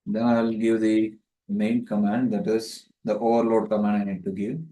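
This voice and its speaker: Monotone man tutor in an echoey room. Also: fairly low pitch, moderate pace. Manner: methodical, explanatory. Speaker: networking tutor. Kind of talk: step-by-step tutorial narration. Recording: roomy echo, distant microphone.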